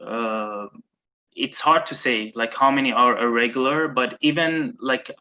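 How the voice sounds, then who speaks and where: Halting man on a muffled call. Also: mid-range voice, sharp tone. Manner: halting, many fillers and restarts. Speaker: migrant rights advocate. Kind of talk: online panel discussion. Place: in Bahrain.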